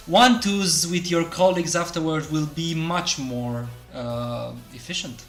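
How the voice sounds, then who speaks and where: Male co-host, casual and jokey, a little high-voiced, roomy echoey recording. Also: faint music behind. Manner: joking, quick asides, banter. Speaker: co-host of a gaming tips show. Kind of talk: casual scripted gaming chat.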